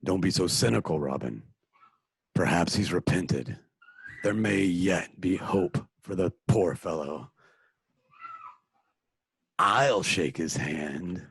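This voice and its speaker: A man's voice: sits low, slow pace, gravelly, pauses often, slightly rough, no echo, heavily acted. An actor playing an earnest, righteous male crime-fighter who speaks in a stiff, formal manner.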